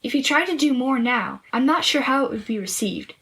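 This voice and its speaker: A woman playing a clever, confident young girl in a story podcast, explaining and teasing with a slightly husky voice. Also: sits high, animated, brisk, fairly bright tone, full character voice. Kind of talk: scripted story podcast dialogue.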